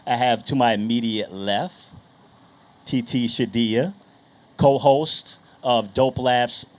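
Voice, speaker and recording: A man's voice: mid-range voice, slow pace. A man speaking informally and conversationally to an audience as a panel moderator on stage. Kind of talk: casual stage introduction of a panel. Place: on stage at a live panel session.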